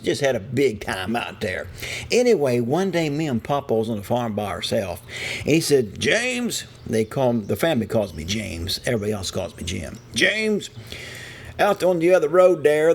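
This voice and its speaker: A man's voice: medium pitch, darkish tone. An older man from West Virginia with an Appalachian accent, telling a true story casually in a folksy, wry way. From West Virginia, USA. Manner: folksy, wry, chuckling asides. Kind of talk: casual first-person storytelling. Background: music.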